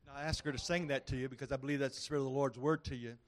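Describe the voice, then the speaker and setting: A man preaching a prophetic word at a church meeting, fervent and building in intensity, with halting restarts. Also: mid-range voice, steady tone.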